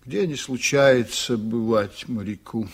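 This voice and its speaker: An actor playing a proud, stubborn, reflective ship's captain in a Soviet radio drama, telling things unhurriedly with dramatic pauses. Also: male voice, medium pitch, slightly rough. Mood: reflective reminiscing.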